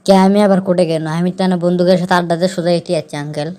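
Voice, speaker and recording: A woman's voice: fairly low pitch, fairly even intonation, rapid-fire, noisy background, natural voice. A woman voicing a cheeky, stubborn son with a Bogura accent who answers back insolently in an amateur cartoon dub. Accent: Bogura, northern Bangladesh. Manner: insolent, defiant. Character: cheeky, stubborn son suspected of being high.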